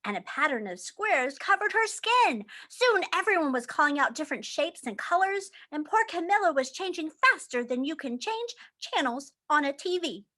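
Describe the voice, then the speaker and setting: A woman reading a children's storybook aloud in an animated, emphatic way. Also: high-pitched. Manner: animated, drawn-out emphatic phrasing.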